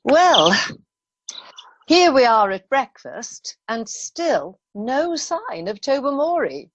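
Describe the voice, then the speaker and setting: A woman's voice: high voice, sing-song, slow, exaggerated voice. A woman playing a starchy upper-class lady of the house in an amateur radio play, formal and coolly polite. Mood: anxious, composed. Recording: dull online call recording.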